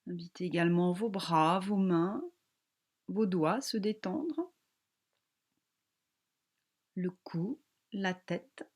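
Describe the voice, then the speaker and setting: A woman yoga teacher guiding a relaxation meditation in a calm, gentle, soothing manner with long pauses. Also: lowish voice.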